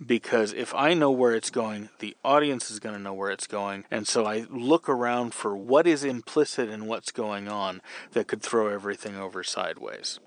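Low-voiced man chatting, close dry sound. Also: normal speed, hardly any pauses, dry recording. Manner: explanatory, conversational. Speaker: podcast host, novelist. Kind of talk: casual advice talk answering a listener question.

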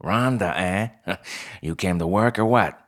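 A man's voice: deep voice, takes it slowly, a bit bright. A man voicing a bossy female fox store manager in a story, with a barking, clipped, blunt way of talking. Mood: gruff challenge.

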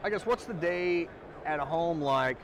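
A man's voice: a little high, a little slow, a crowd in the background. Rambling casual podcast host, man. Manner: rambling, swears casually, restarts questions. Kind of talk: casual interview conversation. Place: trade show booth, outdoors.

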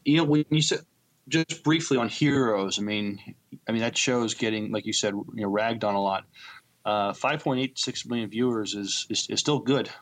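A man co-hosting a podcast in casual chat, halting and repeating words as he thinks. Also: lowish voice. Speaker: podcast co-host.

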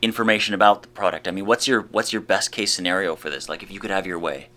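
A man with a lowish voice asks questions in a relaxed, informal way. He gently prompts his guest as the interview goes on. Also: quick pace. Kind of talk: casual interview.

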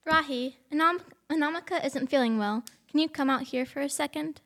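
A woman's voice: high-pitched, a little fast, a bit bright. A young girl playing a polite, secretly sinister mansion hostess in an amateur radio play. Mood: calmly sinister. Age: child or teenager.

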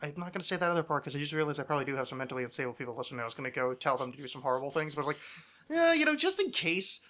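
A man's voice: fairly high pitch, sing-song, racing, bright, forceful tone. A man hosting a casual comedy podcast, rambling along with sarcasm and plenty of swearing. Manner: sarcastic, rambling, foul-mouthed. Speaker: podcast host.

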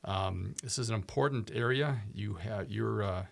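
A man hosting a radio talk show, reading introductions and phone numbers in a measured, announcer-like way. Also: fairly low pitch, gravelly, dry recording. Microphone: studio microphone.

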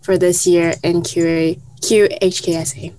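A young woman from Hong Kong, a student guest, answering in an unhurried, hesitant way. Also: fairly low pitch, little pitch movement, mellow, poor audio. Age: young adult. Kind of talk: casual interview answers.

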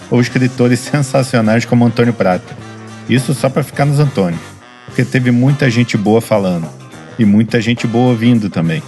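A man with a Brazilian Portuguese accent hosts a studio podcast in a somewhat low voice. He reads his intro with an upbeat, chatty energy and laughs easily in conversation. Faint music plays underneath. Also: a little mellow. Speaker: podcast host. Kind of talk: scripted intro then casual interview.

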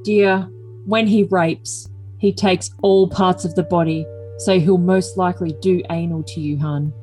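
A woman's voice: lowish voice, fairly even intonation, unhurried, dark tone, soft background music, lightly acted, rough recording. A woman voicing a doting, controlling, self-important boyfriend in an overly dramatic reading of messages. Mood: devoted.

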